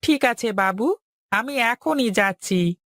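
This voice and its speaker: Voice actor as slow-talking, deferential servant. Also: medium pitch, animated, a bit bright, no room sound, clean studio sound. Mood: deferential, earnest. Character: Ramu, a deferential servant bearing news. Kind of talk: Bengali animated moral-story dubbing.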